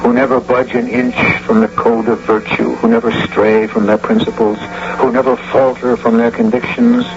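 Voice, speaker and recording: A man's voice: mid-range voice, expressive, moderate pace, vocal fry, few pauses. A man hosting a radio suspense show with teasing, theatrical scripted narration. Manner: theatrical, teasing, rhetorical questions. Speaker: host. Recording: dull, hissy, humming old radio broadcast.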